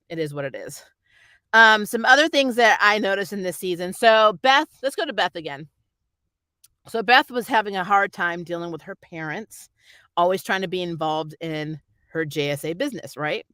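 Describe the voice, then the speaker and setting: Bright-voiced reviewer, dry close recording. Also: medium pitch, animated, moderate pace, no room sound. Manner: rambling, conversational, slangy, mildly exasperated. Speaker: YouTube TV series reviewer. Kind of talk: casual opinionated review chat.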